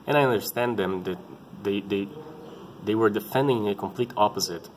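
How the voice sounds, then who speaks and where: Man in his thirties, Brazilian Portuguese accent, native Portuguese speaker using English. Low-pitched, earnest, halting delivery with frequent restarts. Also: a little hiss or hum, rough recording. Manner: halting, earnest, often restarting to find words. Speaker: parent sharing his experience. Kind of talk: casual personal vlog talk.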